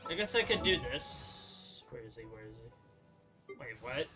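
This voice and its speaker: A young man giving casual gameplay commentary, muttering to himself in halting, half-finished thoughts. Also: medium pitch, sing-song. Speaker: gamer playing on a stream or recording. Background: game music.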